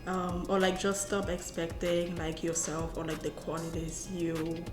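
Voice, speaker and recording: A young woman from Cameroon talks softly in a casual interview, pausing often and leaning on filler words. Her voice sits a little low for a woman. The room is echoey, with faint music underneath. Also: monotone. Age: 20s. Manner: soft-spoken, many "like" fillers, hesitant. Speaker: guest.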